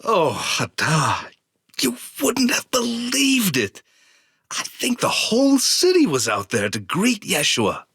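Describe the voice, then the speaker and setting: A woman playing an excited, marvelling townsperson in a biblical audio drama. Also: medium pitch, slow pace, husky, no room sound, clean studio sound, full character voice. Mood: amazed.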